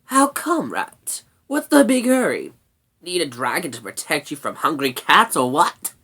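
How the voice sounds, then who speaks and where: A woman's voice: sits low, big pitch swings, a little slow, a bit bright, husky, voice pushed up, exaggerated voice. Woman doing a brash, grumpy young creature girl. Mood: brash, mocking. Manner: brash, sarcastic. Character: sharp-tongued young female brownie, furry, child-sized, grumpy.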